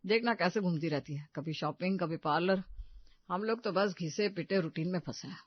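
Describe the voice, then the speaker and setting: A woman's voice: lowish voice, moderate pace, breathy edge, no echo, lo-fi sound. A woman voicing a casual husband in a storybook tale, offhand and placating as he defends himself. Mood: admiring, teasing. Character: casual, defensive husband admiring the neighbour's glamorous wife.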